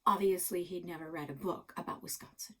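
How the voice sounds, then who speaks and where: Woman doing a library storytime read, expressive, dramatic, slightly husky voice. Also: fairly low pitch, brisk. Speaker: library read-aloud reader. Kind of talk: expressive children's book read-aloud.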